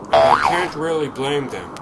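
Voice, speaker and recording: A man playing an earnest, slightly patronizing noble superhero in a cartoon comic dub, formal and measured. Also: mid-range voice, big pitch swings, very noisy, natural voice. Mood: calm, concerned.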